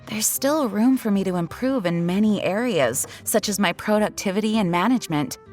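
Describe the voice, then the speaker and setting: Earnest, expressive young woman leader played by an actress. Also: medium pitch, faint music behind, natural voice. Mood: earnest, concerned. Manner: polite, formal, measured. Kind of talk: video game voice acting.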